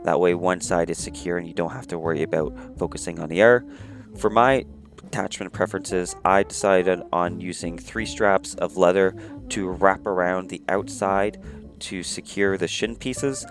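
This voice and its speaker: Man, low voice, raspy from being sick, monotone, step-by-step tutorial voice-over, faint background music. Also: moderate pace. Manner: plain step-by-step instructions, many "you're gonna". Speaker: cosplay prop maker, channel host.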